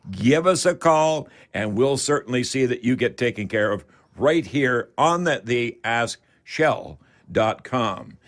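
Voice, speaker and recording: A man's voice: mid-range voice, expressive, a little slow. An older man with a Canadian accent hosting a chatty radio call-in show in a folksy, rambling manner.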